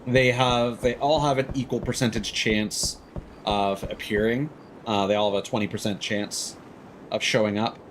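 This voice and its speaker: A man's voice: fairly low pitch, steady tone, normal speed, slight background noise. A man hosting a game livestream, giving rambling, opinionated explanations in a casual, chatty way.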